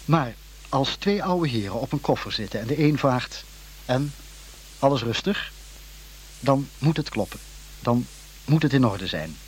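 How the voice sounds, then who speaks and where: A man's voice: mid-range voice, slight background noise, poor audio. A man reading a scripted literary introduction as narrator, with wry, formal phrasing.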